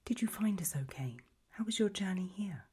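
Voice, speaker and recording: A woman playing an elegant, doting gothic lady hostess in a whispered ASMR roleplay, with a soft breath and gracious, languid manner. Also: mid-range voice, expressive, normal speed, breathy edge. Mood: gracious welcome.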